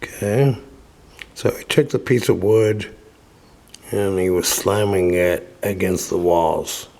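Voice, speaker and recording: A man reading a children's story aloud as narrator, with deliberate dramatic pauses. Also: low-pitched, gravelly, a little mellow. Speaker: audiobook reader.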